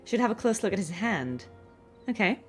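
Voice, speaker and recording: A woman's voice: mid-range voice, big pitch swings, moderate pace, faint music behind. A woman live streaming a game, chatting casually in a musing, appreciative way.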